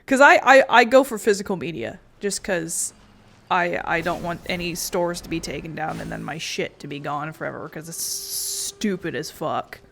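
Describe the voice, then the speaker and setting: A woman's voice: fairly low pitch, animated, moderate pace, vocal fry, a bit bright, a little hiss or hum. A woman game streamer giving casual, rambling commentary in a blunt, sweary, sarcastic way. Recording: music behind the voice.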